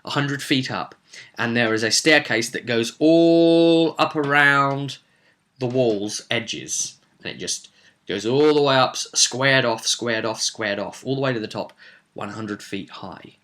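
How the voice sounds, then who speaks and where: A man's voice: medium pitch, measured pace, a bit bright. A man recapping a tabletop roleplay session as game master, telling it theatrically with casual asides.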